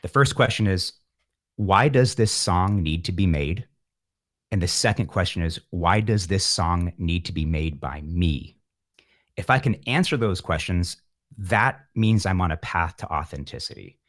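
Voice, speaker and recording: Low-voiced, reflective middle-aged man. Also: lively intonation, unhurried, fairly bright tone. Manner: philosophical, wry, thinking aloud. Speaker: musician, podcast guest. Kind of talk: reflective interview talk.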